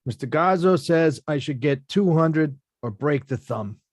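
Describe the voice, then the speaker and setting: Guy acting out a blunt low-key boxer debt collector in a script read, dry close sound. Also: lively intonation, unhurried, no room sound. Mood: calm, menacing. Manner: blunt, plainspoken, understated. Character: laid-back tough boxer working as debt collector. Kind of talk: table read of a film script.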